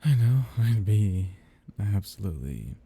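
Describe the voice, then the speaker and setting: Man playing a dark, husky, seductive vampire captor. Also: very animated, no room sound, heavily acted. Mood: teasing, mocking. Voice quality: husky, breathy, low murmur with gravelly edges. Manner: slow, teasing drawl with soft whispered dips. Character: seductive, teasing, menacing male vampire noble holding a captive hunter. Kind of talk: intimate ASMR roleplay audio drama.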